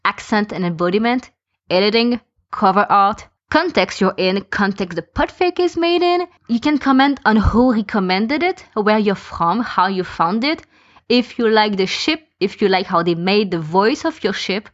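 A woman's voice: medium pitch, animated, moderate pace. A woman in a casual podcast discussion, giving her opinions and explaining them through lists of examples. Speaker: podcast speaker, fan podficker. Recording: dull lo-fi voice recording.

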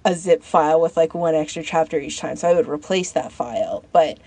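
A woman's voice: deep voice, brisk, dark tone, slightly rough, no room sound. A woman co-hosting a podcast in casual chat, rambling informally as she thinks aloud. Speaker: podcast co-host.

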